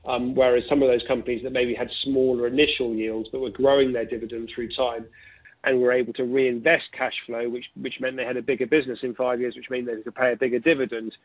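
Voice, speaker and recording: A man being interviewed as an investment fund manager, talking conversationally and thinking aloud with asides and examples over a phone-like line. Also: mid-range voice. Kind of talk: conversational market commentary. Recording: narrow, tinny phone-like line.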